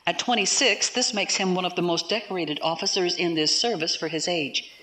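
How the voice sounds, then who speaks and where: An actress playing an earnest military defence counsel in a science fiction audio drama, with a formal, measured, persuasive delivery. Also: female voice, on the low side, pushed and bright. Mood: earnest, impassioned.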